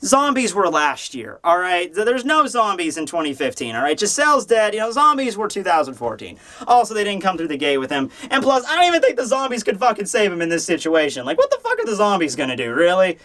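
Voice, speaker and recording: Man, high-pitched voice, casual review commentary, animated, rambling, opinionated, casually profane. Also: fast talker, few pauses. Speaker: host of a manga review show.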